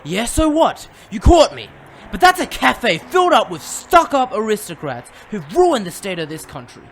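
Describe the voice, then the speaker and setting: A man playing a panicked, defiant gunman in an audio drama, shouting indignantly. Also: sits high, huge pitch swings, normal speed, full character voice. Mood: defiant, alarmed. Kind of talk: fiction podcast audio drama acting. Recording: loud background noise.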